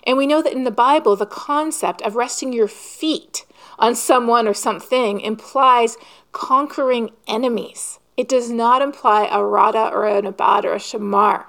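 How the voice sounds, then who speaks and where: Earnest woman preaching a measured sermon. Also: a little high, fairly bright tone. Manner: earnest, measured, rhetorical questions.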